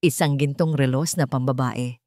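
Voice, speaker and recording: A woman's voice: rumbling low, steady tone, moderate pace, mellow, no echo, studio quality, pitched below the performer's own voice. A woman voicing a serious, commanding male doctor in a story, speaking firmly and directly. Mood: guarded, direct. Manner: firm, direct.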